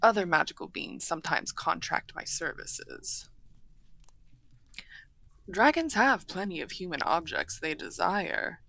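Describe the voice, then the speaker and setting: Woman playing a low, courteous half-dragon lady. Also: sing-song, creaky, sharp tone, no room sound, full character voice. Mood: wry, businesslike. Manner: formal, measured, eloquent. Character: courteous, earnest female half-dragon broker seeking peace. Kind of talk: scripted fantasy audio roleplay, addressing the listener.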